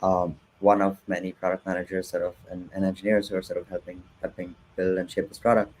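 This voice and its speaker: Guest: man with a very deep, flat voice, soft-spoken, faint noise behind. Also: fast talker, poor audio. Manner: soft-spoken, hedging with "sort of". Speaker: guest product manager. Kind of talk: webinar interview.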